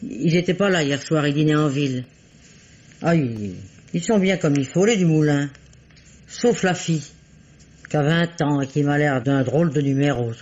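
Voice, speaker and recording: An actress playing a nosy, talkative concierge in a French radio mystery, colloquial and quick to justify herself. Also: female voice, low voice, a little mellow. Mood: gossipy, prim. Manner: colloquial, gossipy, self-justifying. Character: nosy, gossipy female concierge, talkative and defensive. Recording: dull lo-fi radio recording.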